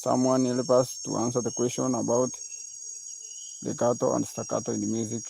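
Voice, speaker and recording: A man from Kenya with a heavily gravelly, fry-laden voice hosts a live music lesson for viewers. He repeats his question again and again, coaxing the audience to send answers. Faint crickets and birds chirp in the background. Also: mid-range voice, flat delivery, moderate pace, dark tone, slight background noise, rough recording. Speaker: music teacher hosting a live stream.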